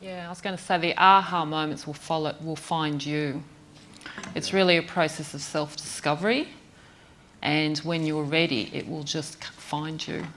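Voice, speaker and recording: Panelist, female, very low voice, soft-spoken, faint room hum. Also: steady tone, normal speed. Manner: gentle, reflective, fillers. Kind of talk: panel discussion.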